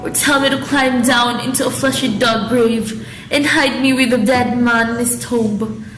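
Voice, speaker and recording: A desperate, defiant young noblewoman played by a woman in a student radio drama. Her voice sits rather high and carries a roomy echo. Music plays clearly behind her. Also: steady tone, measured pace, fairly bright tone. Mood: fervent, defiant. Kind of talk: student radio drama acting of modernised Shakespeare.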